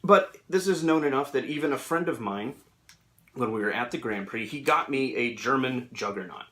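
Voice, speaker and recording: A young man with a bright, forward tone talks in a relaxed, musing way from a room at home. He wanders through his thoughts, often pausing on an "uh" before picking the idea back up. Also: medium pitch. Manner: thoughtful, rambling, with frequent fillers. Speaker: Magic: The Gathering YouTuber. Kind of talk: casual reflective monologue. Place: home room, sleeving cards.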